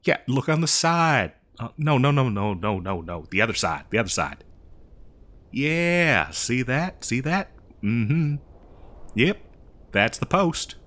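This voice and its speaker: A man's voice: mid-range voice, big pitch swings, takes it slowly, a bit bright. Guy doing a folksy old west drifter, exasperated n rambly, voice swings up and down, comedy audio roleplay. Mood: defensive. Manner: folksy, rambling, hesitant improvised delivery. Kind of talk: improvised comedic audio roleplay addressed to the listener.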